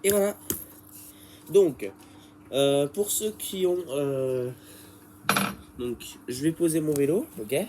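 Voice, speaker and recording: A man's voice: fairly high pitch, slow, pauses often, background noise. A man with a head cold explaining a bike repair in a casual, hesitant way, full of fillers and restarts. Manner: hesitant and rambling, many fillers. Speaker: bike repair tutorial maker.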